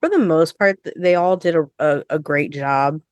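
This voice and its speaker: Low-voiced woman podcast host. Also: normal speed, a little mellow, no echo, muffled recording. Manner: conversational, frequent "like". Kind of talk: casual chat.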